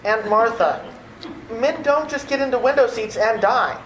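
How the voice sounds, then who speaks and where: A woman's voice: fairly high pitch, animated, unhurried. A woman playing an exasperated, wry and incredulous nephew in a radio play. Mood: flustered, shocked. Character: exasperated, flustered nephew, an adult man. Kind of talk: old-time radio play acting. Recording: echoey room, slight background noise.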